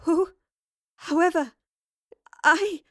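A woman voicing a frightened, bewildered bride in a story, halting and tremulous. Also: extremely high pitch, dry recording, clean studio sound, pitched above the performer's own voice, exaggerated voice.

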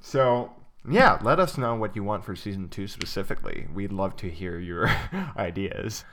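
A man's voice: on the low side, expressive, moderate pace, a bit bright, slight background noise. A young man co-hosting a casual podcast, talking with many fillers and restarts. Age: young adult. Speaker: podcast co-host. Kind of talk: casual chat.

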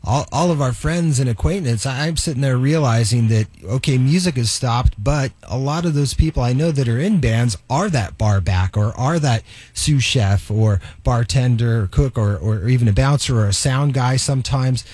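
A man with a husky voice, recorded close and dry, hosts a radio interview. His delivery is conversational, wandering through halting phrases as he puts his thoughts together. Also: medium pitch, darkish tone, no echo.

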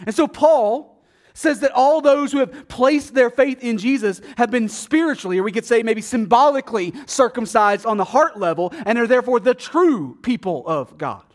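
Male pastor, high voice, casual sermon delivery with dramatic emphasis and asides. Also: expressive, normal speed. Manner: conversational, asks the congregation rhetorical questions; dramatic swings in loudness and pitch for emphasis.

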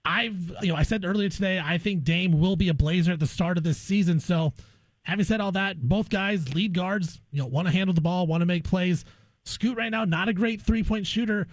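A man's voice: high-pitched, a little fast, no room sound. A man hosting a casual sports talk interview, speaking in an opinionated way. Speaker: sports radio guest host and interviewer.

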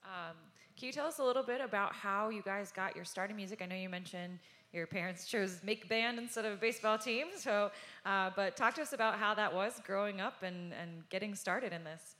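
Bright-voiced woman moderating a panel. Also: mid-range voice, fairly quick. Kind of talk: hosting a panel Q&A.

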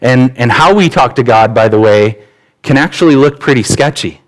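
A man's voice: mid-range voice, lively intonation, normal speed, rough recording. A man preaching a sermon as pastor, earnest and conversational, often restarting his phrases. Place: church.

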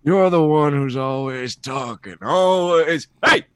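A man voicing an angry old supervillain, ranting and menacing in a breathy, straining, out-of-breath voice in a comic-book reading. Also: fairly high pitch, slow pace, fairly bright tone, dry recording, clean studio sound, pitched below the performer's own voice. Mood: menacing, irritated.

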